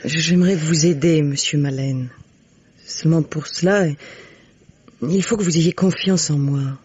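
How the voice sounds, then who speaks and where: An actress playing a resolute, protective widow in a French radio mystery, husky and earnest, on a dull old recording. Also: female voice, low voice, measured pace, darkish tone. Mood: calm, reassuring.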